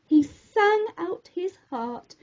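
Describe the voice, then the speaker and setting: A woman reading a rhyming children's bedtime story aloud in a theatrical, expressive way. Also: very high-pitched, very slow.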